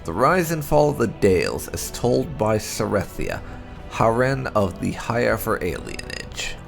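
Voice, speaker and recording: A man reading fantasy game lore aloud as scripted narration, in a measured, storybook-like delivery. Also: lowish voice, animated, gravelly, music in the background.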